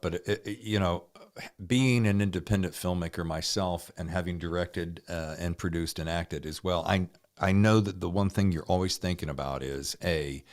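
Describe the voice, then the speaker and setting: A man hosting a podcast, chatting informally and enthusiastically with his guests and jumping in as he goes. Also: low voice. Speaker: podcast host. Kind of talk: interview chat.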